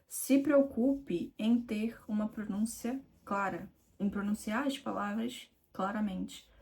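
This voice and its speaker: A woman's voice: medium pitch, darkish tone. A young adult woman from Rio de Janeiro with a Carioca accent, coaching viewers in a direct, conversational teaching video, emphatic and repetitive. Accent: Carioca Brazilian Portuguese. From Rio de Janeiro, Brazil. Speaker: IELTS speaking mentor.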